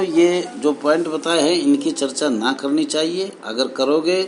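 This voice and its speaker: A man with a medium-pitched voice speaks as an association leader to members. He reads from documents and comments on them, breaking his sentences into short, deliberate phrases. Faint noise sits behind his voice. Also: low-quality recording. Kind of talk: advocacy video address, reading out documents and commenting. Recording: steady background noise.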